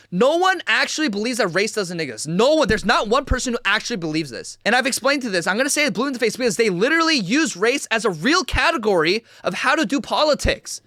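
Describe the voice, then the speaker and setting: A man's voice: very high-pitched, bright, forceful tone, no room sound, clean studio sound. A young man of Korean origin giving a heated, repetitive livestream commentary rant as host. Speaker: streamer host.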